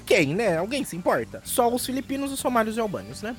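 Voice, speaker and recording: A man's voice: sits high, very animated, quick pace, faint music behind. A man with a Brazilian Portuguese accent co-hosting a podcast, joking playfully with apologetic asides. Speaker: podcast co-host. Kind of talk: casual joking chat.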